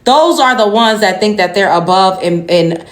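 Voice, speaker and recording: A woman's voice: medium pitch, animated, normal speed, echoey room. A woman, ranting casually and sassily to her viewers. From Brooklyn. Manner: sassy, addresses viewers as y'all. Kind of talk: casual social media rant.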